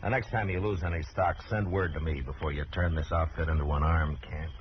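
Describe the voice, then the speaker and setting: A man playing a calm, weary frontier marshal in a radio western, speaking with dry, firm understatement. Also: a bass voice, a little fast. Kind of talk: 1950s radio western acting. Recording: muffled, noisy old radio recording.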